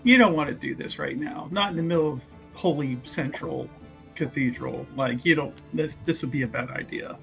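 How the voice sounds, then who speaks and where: A man's voice: a little high, normal speed, creaky, a bit bright, soft background music. A man playing a tabletop roleplaying game, chatting casually about what his character is doing. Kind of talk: casual improvised game chat.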